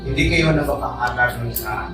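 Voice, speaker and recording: A man's voice: medium pitch, brisk. A man interviewing a group, asking blunt, probing questions. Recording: echoey, distant, loud music.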